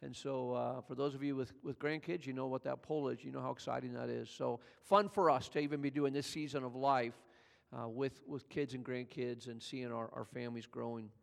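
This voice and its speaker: A man's voice: steady tone, fairly quick, vocal fry, long reverb tail. An older man from California, a pastor giving a conversational sermon that builds in energy as he retells the story. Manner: conversational preaching, builds in pitch and volume as he tells the story.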